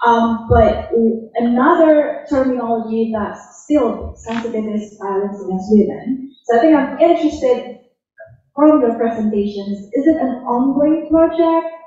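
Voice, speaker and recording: A woman's voice: fairly high pitch, a little slow, mellow. A woman from Indonesia moderating an academic panel, putting a question to a speaker in an informal way. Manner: informal, uses "like" and "right?". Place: function hall. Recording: echoey hall from far off, dull sound.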